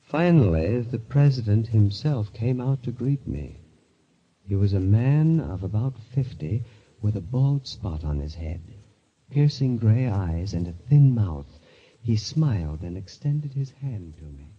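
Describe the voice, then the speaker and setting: A man's voice: fairly low pitch, expressive, unhurried, slightly rough. An actor playing an uneasy, polite man recounting his entry into a sinister world, in grave 1940s radio style. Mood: grave, haunted. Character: uneasy, hesitant man drawn into a death club, narrating. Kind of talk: 1940s radio acting, first-person mystery narration. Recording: dull, lo-fi vintage radio recording.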